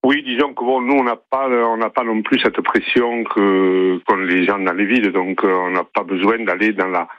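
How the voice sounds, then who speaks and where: A middle-aged man from southern France calling in to a radio show, rambling with many pauses. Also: fairly low pitch, fairly even intonation, no room sound. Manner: rambling, hesitant with many fillers. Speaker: caller. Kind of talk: phone-in chat. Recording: muffled phone-line audio.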